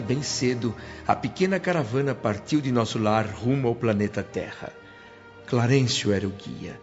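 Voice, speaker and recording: A man's voice: mid-range voice, close to an everyday voice. An actor playing a humble, sentimental spirit who recounts his afterlife in earnest, literary Brazilian Portuguese radio drama narration. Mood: joyful, nostalgic. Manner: earnest, reverent, literary storytelling. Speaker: narrator and protagonist. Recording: muffled radio drama with music bed.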